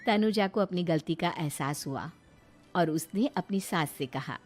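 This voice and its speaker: A woman's voice: fairly low pitch, expressive, unhurried, soft background music. A woman reading scripted story narration.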